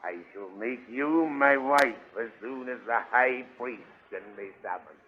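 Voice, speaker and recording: A man's voice: medium pitch, unhurried, natural voice. An actor playing a boastful, lusty, cruel king of hawk people, theatrical and gloating in a 1930s radio serial. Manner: gloating, theatrical, punctuated by laughter. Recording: tinny, band-limited 1930s radio recording.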